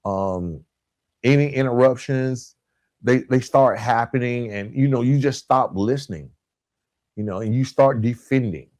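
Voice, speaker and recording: Guy doing a motivational coaching podcast, mid pitch, repeats stuff to drive it home, really dry sound. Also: a little slow, darkish tone, no echo. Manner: emphatic repetition, checks in with "right?" and "guys". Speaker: podcast host.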